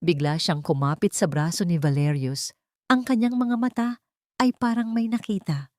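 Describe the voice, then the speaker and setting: Low-voiced woman narrating a drama. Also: normal speed, darkish tone, dry recording, clean studio sound. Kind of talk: scripted dramatic audio story narration.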